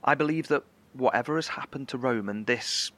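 An actor playing a measured, articulate male scientist survivor, broadcasting explanations from a bunker in a horror audio drama. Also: mid-range voice, fairly bright tone, lightly acted. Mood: measured, concerned. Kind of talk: in-character scripted radio broadcast monologue.

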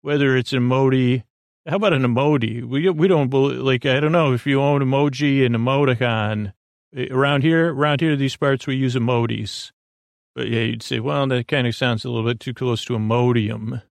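A man hosting a sleep podcast, talking in a meandering, halting way with many fillers and restarts. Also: mid-range voice, vocal fry, no echo. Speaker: podcast host. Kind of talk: rambling sleep podcast intro.

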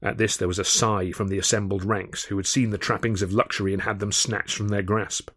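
Expressive man narrating a novel, dry sound. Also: on the low side, a little fast, dry recording. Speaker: author reading his own novel. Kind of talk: first-person audiobook narration, storytelling.

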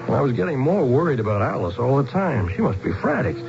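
An actor playing a skeptical, down-to-earth outsider with a plain-spoken, wry manner in a radio drama. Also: male voice, medium pitch, very animated, fast talker, gravelly. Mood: worried, reflective. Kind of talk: radio drama acting with first-person narration. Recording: muffled radio broadcast with music.

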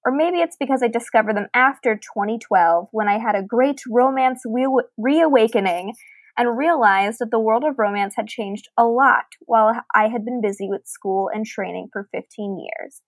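Higher-voiced woman podcasting in a dry studio. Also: no room sound. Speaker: podcast co-host. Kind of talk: reading listener email aloud and casual book discussion.

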